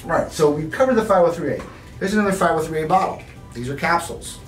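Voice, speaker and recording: Emphatic man explaining to camera. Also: on the high side. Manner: emphatic, repeats "right?" often. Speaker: clinic spokesman. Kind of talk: direct-to-camera educational talk. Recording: roomy, distant, faint music bed.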